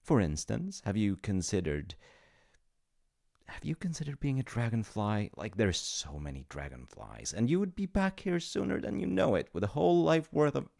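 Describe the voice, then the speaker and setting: A man's voice: on the low side, huge pitch swings, moderate pace, gravelly, darkish tone, full character voice. A man playing an awkward, chatty demigod of reincarnation in a comedic audio roleplay, stammering and apologetic as he talks to the listener. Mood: coaxing. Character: flustered, chatty male demigod in charge of reincarnation.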